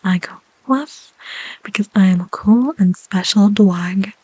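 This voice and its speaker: A woman voicing a cheerful, treat-loving pet dog in a soft unvoiced whisper. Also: medium pitch, unhurried, slightly rough, dry recording, rough recording. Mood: playful.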